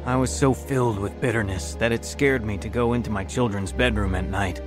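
A man's voice: lowish voice, brisk, over music, lightly acted. A man acting as a bitter, hot-tempered working-class mechanic telling his life story in a radio drama, blunt and combative. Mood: grave, reflective.

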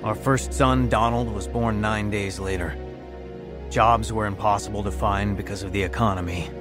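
A man's voice: lowish voice, fairly even intonation, moderate pace, music in the background, lightly acted. A man acting as a bitter, hot-tempered working-class mechanic telling his life story in a radio drama, blunt and combative. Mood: grave, reflective.

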